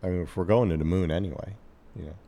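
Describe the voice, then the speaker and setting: A man with a very deep, low voice co-hosts a casual podcast chat. He gropes for words, restarting sentences as he works through his thoughts. His voice trails off into a long, ringing reverb. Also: dark tone. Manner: halting, many restarts, thinking aloud. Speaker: podcast co-host.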